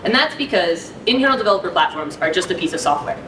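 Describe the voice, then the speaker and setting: Lively woman giving a conference talk. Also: fairly low pitch, fast talker, background noise. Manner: conversational, energetic, opinionated. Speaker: conference speaker. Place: indoor conference room, small audience. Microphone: handheld mic, far camera.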